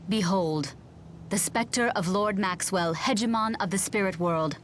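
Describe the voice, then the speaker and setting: An actress playing a solemn, dignified, loyal female elemental spirit in a video game, speaking formally and with measured phrasing. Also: medium pitch, a little hiss or hum, natural voice. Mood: resolute. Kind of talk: dramatic video game voice acting.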